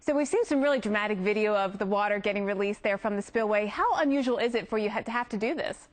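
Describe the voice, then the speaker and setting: American lady news anchor, medium pitched voice, doing live tv intros and questions. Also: fast talker.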